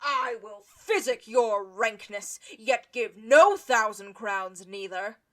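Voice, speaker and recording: Cruel young lord, female actor, higher-pitched, imperious and sneering, classical audio drama. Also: takes it slowly. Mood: scheming, imperious. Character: haughty, scornful elder brother, a cruel young nobleman. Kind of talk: Shakespearean radio play acting.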